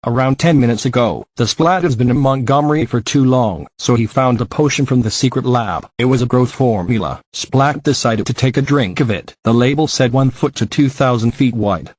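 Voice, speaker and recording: Male alert-system announcer, medium pitch, stiff synthetic formal read, dry sound. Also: normal speed, hardly any pauses, a little mellow, dry recording. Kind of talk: scripted emergency broadcast announcement.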